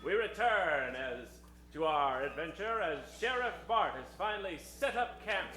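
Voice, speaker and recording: A man's voice: sits high, animated, unhurried. A man narrating and announcing an improvised old-time radio show with a dramatic delivery. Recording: echoey stage, music behind.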